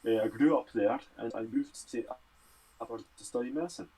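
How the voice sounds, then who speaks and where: A man's voice: medium pitch, a little fast, mellow, a little hiss or hum, rough recording. A Scottish man who studies medicine, casually answering health and fitness questions on a livestream Q&A. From Scotland.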